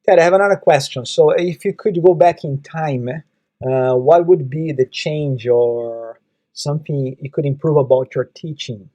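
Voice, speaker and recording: A man's voice: a little high, moderate pace, mellow. Portuguese-speaking male podcast host. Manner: brief, friendly prompts and anecdotes. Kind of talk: conversational podcast interview.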